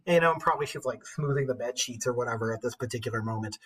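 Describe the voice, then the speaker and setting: Husky man teaching informally. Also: medium pitch, fast, a bit bright. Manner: conversational, anecdotal, slangy. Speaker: high school English teacher. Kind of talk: informal recorded lesson with commentary.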